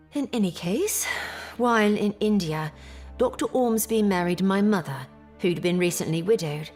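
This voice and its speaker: Actress as a grave young Victorian gentlewoman, music behind. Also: medium pitch, natural voice. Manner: formal, measured storytelling. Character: distressed Victorian English gentlewoman recounting family misfortune. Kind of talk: period audio drama acting, narrative monologue.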